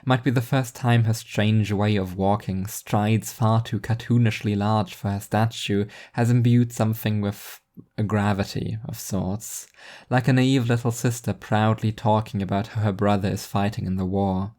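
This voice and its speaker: A man's voice: lowish voice, fairly even intonation, normal speed, a little mellow. A man reading first-person fiction narration in an introspective, wry manner.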